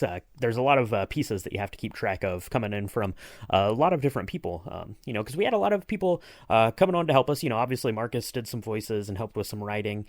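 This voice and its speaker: A man hosting a podcast in casual chat, speaking haltingly as he thinks out what to say. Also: fairly low pitch, no room sound, clean studio sound. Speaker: podcast host.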